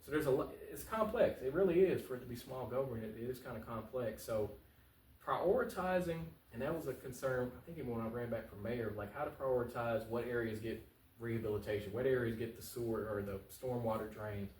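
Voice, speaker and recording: Fast-talking American man in a roomy, distant recording. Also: mid-range voice, a little mellow. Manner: earnest, informal, repeats phrases for emphasis. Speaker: political candidate, talk show guest. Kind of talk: conversational interview answers. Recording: roomy echo, distant mic, faint noise.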